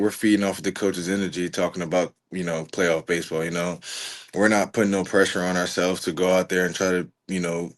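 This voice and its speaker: A young man in his twenties, a college pitcher, talking casually as a podcast guest and filling his answers with "you know". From Richmond, Virginia. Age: 20s. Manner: relaxed, rambling, many "you know" fillers. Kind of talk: casual interview chat.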